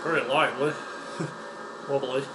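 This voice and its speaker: West yorkshire bloke doing a chatty beer review, slow and easy, lots of ums, air con humming in an echoey room. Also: male voice, mid-range voice, fairly even intonation, noisy background. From West Yorkshire, England. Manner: unhurried, chatty, with many ums and uhs. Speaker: beer reviewer, channel host. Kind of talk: casual beer-tasting vlog.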